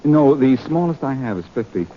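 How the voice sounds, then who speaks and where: An actor playing a wry, confident detective hero in 1940s radio drama style, with a teasing manner. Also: male voice, medium pitch, animated, normal speed, natural voice. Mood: light, bantering. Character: wry, confident amateur sleuth hero, adult man. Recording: muffled, noisy 1940s radio recording.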